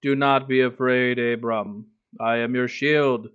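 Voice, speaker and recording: Male streamer, average pitch, reading aloud with irreverent, profane asides, lo-fi mic. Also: fairly even intonation, a little slow, a bit bright, clean tone. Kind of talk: reading aloud with casual commentary.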